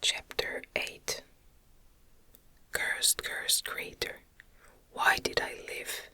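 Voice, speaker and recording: A woman reads a gothic novel aloud in a breathy, unvoiced ASMR whisper, with gentle, deliberate phrasing. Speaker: audiobook reader.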